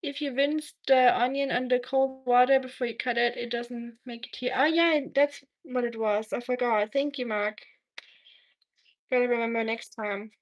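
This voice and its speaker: A German-accented woman hosts a casual cooking livestream, sharing kitchen tips and replying to viewers as she works. Her voice sits a little higher than most women's. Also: steady tone, normal speed, lo-fi sound. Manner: chatty, reads and answers viewer comments while cooking.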